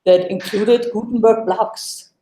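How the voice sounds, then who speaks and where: A woman's voice: mid-range voice, fairly even intonation, takes it slowly, warm tone, roomy sound. A woman, hosting a live web Q&A show over a video call. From Germany. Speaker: host. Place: home room, video call.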